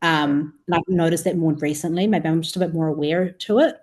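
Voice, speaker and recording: A woman's voice: low voice, fairly even intonation, a little fast, a little mellow. A woman in her twenties with a New Zealand accent, chatting casually as an interview guest. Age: 20s. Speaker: podcast guest, food entrepreneur.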